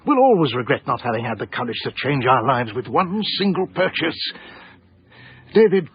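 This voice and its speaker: An actor playing an anxious, earnest small-time English art dealer in a radio drama, hesitant and self-effacing, with an English accent. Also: male voice, medium pitch, sing-song, moderate pace, breathy edge, dull audio. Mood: pleading. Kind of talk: radio drama acting and first-person narration.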